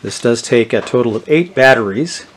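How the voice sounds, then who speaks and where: A middle-aged man gives a casual, unscripted product demonstration, haltingly thinking aloud as he goes. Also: mid-range voice, lively intonation. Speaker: presenter of a vintage electronics channel. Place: indoors, small tabletop product-shooting setup.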